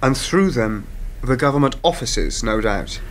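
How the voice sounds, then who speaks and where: A man acts a wry male lecturer in a radio play, sceptical and questioning. His voice sits at a middling pitch, over a faint bed of background noise. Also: lively intonation, measured pace, a bit bright. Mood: probing, dry. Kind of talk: radio drama acting, dialogue.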